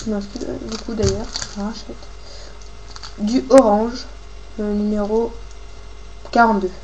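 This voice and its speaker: A woman with a cold gives a casual, halting hands-on craft tutorial, thinking aloud as she works. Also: medium pitch, flat delivery, warm tone, lo-fi sound. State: has a cold, coughing. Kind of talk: casual hands-on tutorial, talking while working. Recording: clearly noisy homemade recording with clicks.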